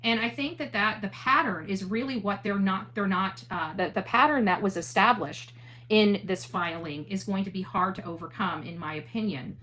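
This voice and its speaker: A woman with a mid-pitched voice speaks as an online host in a casual livestream monologue. She is earnest and emphatic, often restating a point for weight. A faint noise and hum sit behind her voice. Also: moderate pace, fairly bright tone. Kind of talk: livestream talk, casual monologue with replies to chat.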